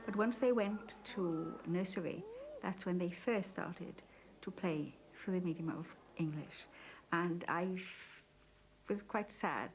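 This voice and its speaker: A Welsh-accented woman whose first language is Welsh, gently reminiscing about her childhood in an interview. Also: on the low side, slow, low-quality recording. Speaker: interviewee, mother.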